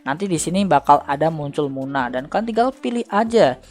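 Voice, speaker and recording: A young man presenting a casual software tutorial in an informal, slangy, chatty way. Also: fairly high pitch, animated, fairly quick. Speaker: tutorial host. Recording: faint music under voice. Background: faint background music.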